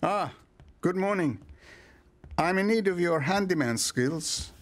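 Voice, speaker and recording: An actor playing a courteous, guarded landlord in a radio soap, formal and polite. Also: male voice, high voice, lively intonation, takes it slowly. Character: courteous, guarded landlord and painter, faintly secretive.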